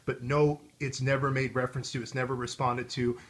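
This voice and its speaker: A man's voice: medium pitch, level tone, brisk. An American man hosting a video in casual chat, with a wry, rambling way of riffing as he talks. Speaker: video host.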